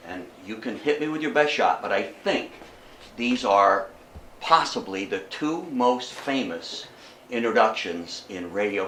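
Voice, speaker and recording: An older man with an American accent giving an informal, deliberate history lecture and engaging his audience. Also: lowish voice, fairly bright tone. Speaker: guest lecturer. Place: meeting room talk. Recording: echoey room, distant mic, faint noise.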